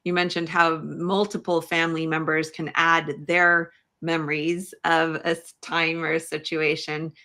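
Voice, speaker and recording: Lady interviewer, bright but creaky voice, feels her way slowly through questions. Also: low-pitched, level tone. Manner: tentative, halting phrasing while forming questions. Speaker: podcast host, interviewer.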